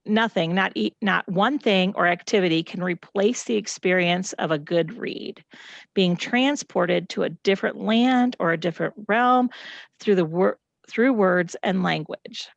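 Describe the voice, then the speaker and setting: A woman's voice: mid-range voice, a little slow, gravelly, no room sound, muffled recording. A middle-aged woman, presenting a reading lesson to children and families and asking them questions. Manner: encouraging, asks the audience questions. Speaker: teacher presenting at family night.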